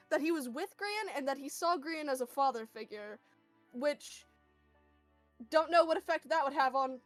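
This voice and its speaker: High-voiced woman chatting in a roomy space. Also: a bit bright. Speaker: tabletop role-play player. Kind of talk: casual group chat about a game. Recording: roomy echo, music behind.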